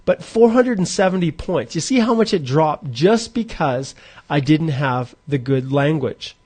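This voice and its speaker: A man in his forties speaks in a fairly high voice for a man. He hosts a live online question session, walking viewers through a calculator step by step. His delivery is informal and conversational, with restarts as he thinks aloud. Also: animated, measured pace, darkish tone, breathy edge. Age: 40s. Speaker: immigration advisor hosting a live Q&A.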